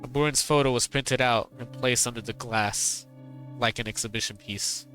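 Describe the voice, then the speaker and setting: Male streamer, bright tense tone, tired voice, faint music underneath. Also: mid-range voice, steady tone, low-quality recording. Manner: halting, repeats words, laughs often. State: tired, strained voice. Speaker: streamer reading a visual novel. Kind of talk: reading game dialogue aloud, then casual chat.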